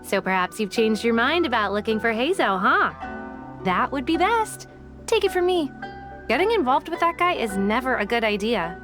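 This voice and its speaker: A scornful young female detective from a video game, stubborn and haughty. Her fairly high voice is dry, snide and dismissive. Music plays clearly underneath. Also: sing-song, moderate pace. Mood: cold, dismissive. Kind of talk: English video game voice acting. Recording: background music under the dialogue.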